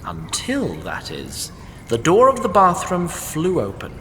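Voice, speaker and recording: A man's voice: sits high, wildly swooping pitch, a little slow, big-room sound, noisy background. A man narrating a comic fantasy audio drama in a wry, formal storytelling manner. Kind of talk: scripted narration.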